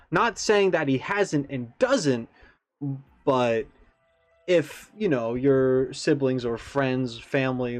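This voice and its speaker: Guy doing a laid out reaction commentary, kinda rambly thinking out loud, mid pitched voice, music playing behind him. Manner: rambling, thinking aloud with self-aware asides. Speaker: reaction video creator. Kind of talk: casual, reflective commentary on a game character video.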